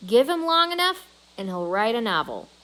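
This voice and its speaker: A woman's voice: fairly high pitch, very animated, moderate pace, bright, forceful tone, a little hiss or hum. A woman voicing a skeptical, hesitant father in a storybook, doubtful and trying to reason.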